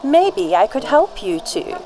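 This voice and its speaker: A woman's voice: sits high, big pitch swings, takes it slowly, music in the background, heavily acted, low-quality recording. A woman playing an alluring, confident mystery woman in a detective radio drama. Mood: coy.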